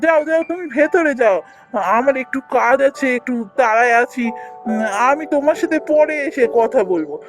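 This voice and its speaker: Kindly, earnest village brother for a cartoon folktale, played by a man: very high male voice, emotional delivery, music underneath. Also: expressive, moderate pace. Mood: surprised, concerned. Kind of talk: Bengali fairy-tale cartoon dubbing.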